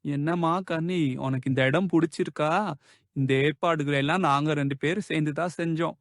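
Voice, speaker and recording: A man's voice: a little high, fairly even intonation, fairly quick, dry recording, studio quality, lightly acted. A man voicing a friendly, chatty young male cat in a children's cartoon, chummy and familiar.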